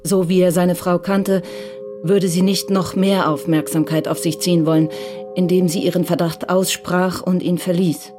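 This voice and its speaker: A woman giving a sober, measured scripted narration for a crime radio drama. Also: lowish voice, level tone. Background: music.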